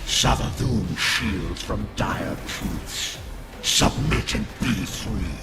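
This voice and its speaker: Male game villain: menacing cryptic oracle, very measured, solemn, under loud music. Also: low-pitched, expressive, heavily acted. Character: ominous male oracle taunting in cryptic riddles. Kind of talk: scripted video game voice acting.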